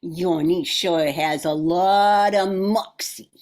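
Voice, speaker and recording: A woman's voice: lowish voice, fairly even intonation, takes it slowly, darkish tone, slight background noise. A woman acting a cold, calculating mob boss in her fifties, commanding and menacing, in an amateur radio drama. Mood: threatening.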